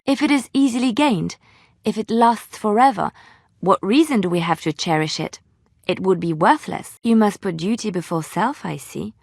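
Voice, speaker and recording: A woman playing a romantic, earnest young bard in a video game, speaking with expressive, lilting phrasing. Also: mid-range voice, dry recording, clean studio sound. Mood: gentle. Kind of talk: video game character dialogue and storytelling.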